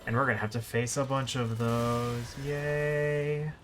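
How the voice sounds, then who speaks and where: A man's voice: medium pitch, flat delivery, measured pace. A man giving live video game commentary, thinking aloud and exclaiming in frustration as he plays. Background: video game music.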